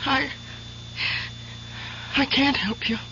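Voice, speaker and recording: An actress playing an anxious, loving wife in a radio drama, emotional and hesitant. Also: female voice, high voice, steady tone, extremely slow, heavily acted. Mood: anxious, helpless. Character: anxious, loving wife, soothing yet helpless. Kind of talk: old-time radio drama acting. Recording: muffled, noisy old radio broadcast recording.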